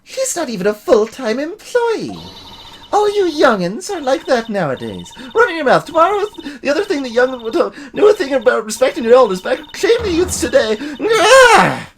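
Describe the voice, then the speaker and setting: A man's voice: very high-pitched, wildly swooping pitch, moderate pace, a bit bright, slight background noise, voice pushed up, heavily acted. A man voicing a cranky, scolding old woman security guard in a video game, shrieking and ranting. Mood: indignant scolding.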